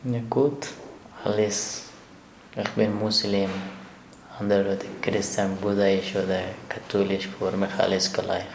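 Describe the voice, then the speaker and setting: A young man from Afghanistan with an Afghan accent, answering interview questions in halting, broken German. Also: low-pitched, little pitch movement. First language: language of Afghanistan. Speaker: interviewee, asylum seeker and runner. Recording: echoey room with clear background noise.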